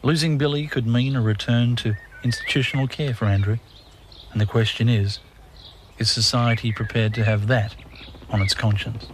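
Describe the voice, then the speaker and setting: A man playing a solemn current-affairs reporter, speaking gravely and earnestly. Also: mid-range voice, steady tone, moderate pace, noisy background, lightly acted. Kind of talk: current-affairs narration parody.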